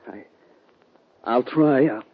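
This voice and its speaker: An actor playing a wounded young outlaw in a 1950s western radio drama, speaking haltingly, dazed and evasive, in a husky voice. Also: male voice, mid-range voice. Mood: halting, troubled. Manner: halting, faltering speech. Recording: muffled old radio recording.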